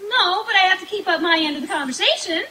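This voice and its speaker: A woman's voice: very high-pitched, animated, quick pace, a crowd in the background. A woman playing a guileless, scatterbrained young woman in an old-time radio comedy on an outdoor stage. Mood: blithe. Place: outdoor woodland stage, live performance.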